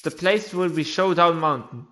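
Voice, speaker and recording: A man's voice: a little high, moderate pace, sharp tone, a little hiss or hum. A man who is a non-native English speaker reads a video game's story and dialogue aloud in a theatrical way. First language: not English. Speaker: amateur game playthrough narrator. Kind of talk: voiced story playthrough of a video game. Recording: music behind the voice. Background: video game music.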